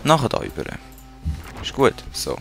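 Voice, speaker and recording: A man's voice: moderate pace, fairly bright tone, soft background music. A man with a Swiss German accent giving casual commentary while playing a strategy game, muttering to himself and talking to the units on screen. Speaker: let's play gamer.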